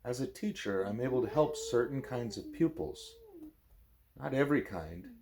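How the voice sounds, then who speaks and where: A man's voice: medium pitch, expressive, normal speed, a little mellow, slight background noise, close to an everyday voice. A man voicing a huge, learned gorilla teacher in an audiobook, patient, formal and courteous. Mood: explaining.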